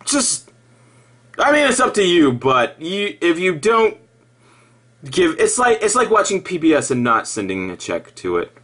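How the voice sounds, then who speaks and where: A man delivering an improvised sarcastic rant in an exasperated, mocking way, halting and repeating himself, with profanity. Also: high voice, very animated, fairly bright tone. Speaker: video commentator.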